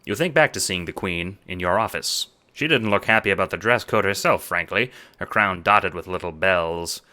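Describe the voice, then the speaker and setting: A man narrating a second-person audio drama in a dramatic, deliberate way. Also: deep voice, pushed and bright. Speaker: narrator and producer.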